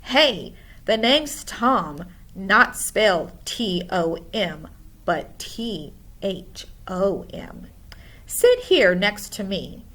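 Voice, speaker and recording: A woman's voice: medium pitch, sing-song, very slow, fairly bright tone, exaggerated voice. A woman voicing a gawky, friendly storybook schoolboy who talks in an eager, emphatic way. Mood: bold, friendly. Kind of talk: storybook character voice.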